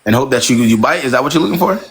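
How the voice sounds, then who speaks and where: A man's voice: mid-range voice, expressive, racing. A man hosting a live stream, chatting casually in slang and repeating himself as he gives callers advice.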